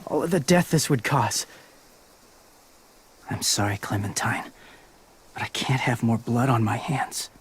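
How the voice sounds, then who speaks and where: Man playing a solemn, hushed loner, slow-spoken. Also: mid-range voice, expressive, slight background noise, close to an everyday voice. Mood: anguished refusal. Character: solemn, gentle male loner who believes walkers are more. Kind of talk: dramatic video game acting. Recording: music under the voice.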